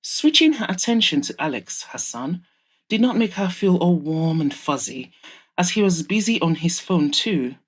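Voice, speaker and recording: Female storyteller, low register, scripted fiction narration for an audio drama. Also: very animated, normal speed, breathy edge.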